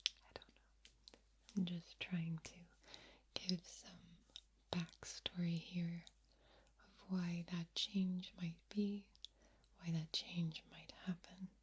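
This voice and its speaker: Asmr girl whispering super slow n breathy, kinda low voice, right up in your ears, repeats words softly. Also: level tone, slight background noise. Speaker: ASMR video creator. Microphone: close microphone, speaking ear to ear.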